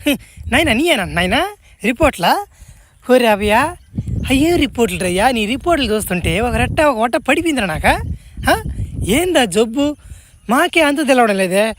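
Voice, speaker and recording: A woman's voice: on the high side, expressive, fairly quick, long pauses, a little hiss or hum, close to an everyday voice. A woman voicing an exasperated, bemused male doctor in a comic cartoon, chatty and full of incredulous exclamations. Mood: baffled. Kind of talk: comic cartoon dubbing in Telugu.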